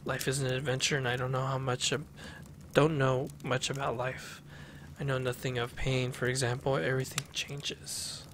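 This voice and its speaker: A young man streaming a horror game from a small room at home, reading its notes and diaries aloud in an even, steady way over his headset mic. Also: mid-range voice, normal speed, slight background noise. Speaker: gameplay streamer. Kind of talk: reading game text aloud while playing. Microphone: headset with desk mic.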